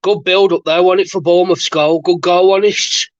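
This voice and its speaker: A man's voice: high-pitched, steady tone, moderate pace, lo-fi sound. A man, chatting as a guest, weary and sighing, with short echoing replies. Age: 30s. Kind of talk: casual chat.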